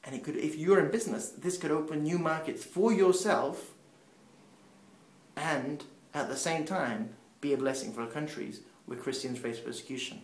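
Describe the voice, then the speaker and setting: A man who grew up in Peru and speaks Spanish as his first language, preaching earnestly with rising emphasis that falls back low. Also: normal speed, reverberant, rough recording. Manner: earnest, builds emphasis then drops low. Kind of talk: sermon-style teaching.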